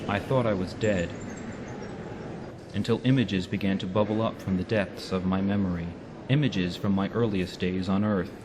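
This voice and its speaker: Man playing a grave, low-voiced robot narrator. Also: steady tone, moderate pace, background noise, close to an everyday voice. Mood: brooding, bitter. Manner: grave, literary, scornful. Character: aged robot narrator, bitterly recalling his past. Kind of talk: dramatic first-person narration.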